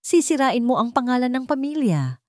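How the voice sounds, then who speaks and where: A woman's voice: sits high, sing-song, normal speed, a little mellow, no echo, voice pushed up. Female narrator playing rich older Filipina villainess, commanding, menacing, furious, dry close story recording. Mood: outraged. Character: ruthless, furious wealthy older matriarch villain.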